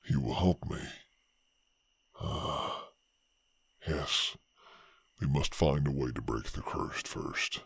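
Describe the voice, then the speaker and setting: A man voicing a massive cursed male werewolf, grave and beseeching, with a low, gravelly, husky voice in a slow, solemn fantasy story delivery. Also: full character voice. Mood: grave pleading.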